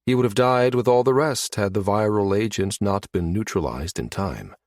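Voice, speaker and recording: A man narrates a science fiction audiobook in a low voice. The sound is dry, with very little room to it, and the delivery is that of scripted prose read aloud. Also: moderate pace, no room sound.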